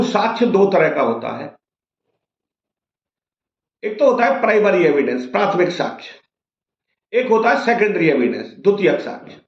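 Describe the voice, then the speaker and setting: Man, high voice, didactic legal explainer, question-and-answer delivery, echoey dull recording. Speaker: advocate explaining law to viewers. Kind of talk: informal legal explainer addressed to camera. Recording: dull, roomy, echoing sound.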